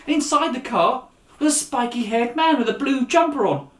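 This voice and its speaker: A man reading a story aloud for young listeners as an author and storyteller, in an animated, direct way. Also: extremely high pitch, moderate pace. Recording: echoey room.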